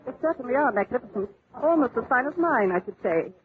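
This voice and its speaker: A woman's voice: a little high, animated, fast, natural voice. Woman playing swooping, catty English society hostess. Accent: British upper-class. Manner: gushing, arch, condescending. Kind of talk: 1940s radio acting. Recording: noisy, tinny 1940s radio broadcast.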